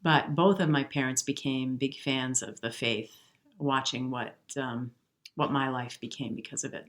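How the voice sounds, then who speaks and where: A woman's voice: rumbling low, steady tone, moderate pace. Earnest, reflective woman in her fifties. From Milwaukee, US Midwest. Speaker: guest, jazz singer. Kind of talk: conversational interview.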